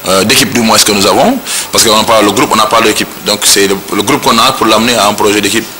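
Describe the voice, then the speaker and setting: A man with a Senegalese French accent speaks as a national coach at a press event. His voice sits low and he pauses and fills as he thinks. Clear noise runs behind him. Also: fairly even intonation, sharp tone. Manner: hesitant, thinking aloud with fillers. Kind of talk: press statement.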